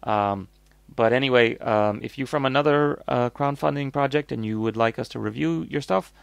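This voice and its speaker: A man's voice: mid-range voice, normal speed, vocal fry, clean tone, no echo. A North American man hosting a casual, off-the-cuff product review, rambling and blunt as he thinks aloud.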